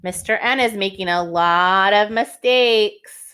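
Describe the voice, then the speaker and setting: A woman's voice: medium pitch, slow, pushed and bright, clear, resonant tone. A woman reading a story aloud to young children like a teacher, expressively, with questions put to her listeners.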